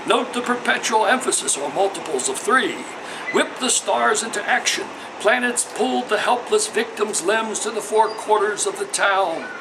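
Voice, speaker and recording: A man with a fairly high voice reads poetry aloud. He delivers it in a measured, declamatory way, like a formal literary recital. There is some faint background noise in the recording. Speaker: poet reading own work. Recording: noisy ambience behind the voice.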